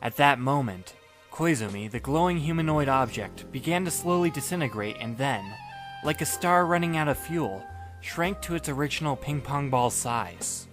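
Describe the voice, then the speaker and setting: A man playing a sarcastic, weary teenage boy who narrates in a deadpan voice. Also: a little high, normal speed, faint music behind. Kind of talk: first-person audiobook narration.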